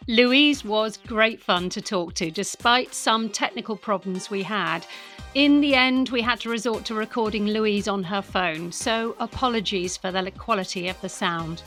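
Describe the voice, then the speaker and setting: A woman's voice: medium pitch, a little slow, fairly bright tone, soft background music. A British woman co-hosting a podcast, moving between chatty presenting and scripted reading. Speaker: podcast co-host.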